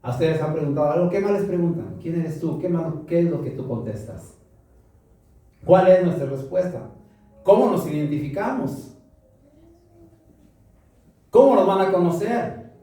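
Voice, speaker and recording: A man's voice: on the high side, lively intonation, moderate pace, long pauses, dark tone, distant mic. A Mexican man preaching a sermon to a congregation, asking them questions and repeating them back. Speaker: pastor. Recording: roomy echo from afar, music behind.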